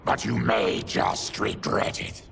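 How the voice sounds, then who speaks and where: An actor playing a grim, honorable monstrous warrior in a video game, with a gravelly, growling voice. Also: male voice, fairly low pitch, fairly even intonation, takes it slowly, heavy background noise, exaggerated voice. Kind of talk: dramatic video game voice acting.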